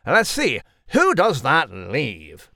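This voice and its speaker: A man's voice: a little high, huge pitch swings, slow pace, creaky, sharp tone, dry recording, heavily acted. Guy doing a stuffy british mouse gent, wordy and proper, comedy podcast cartoon type, dry sound. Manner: pompous, wordy, formal. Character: fussy, verbose gentlemanly male mouse. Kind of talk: scripted comic podcast skit.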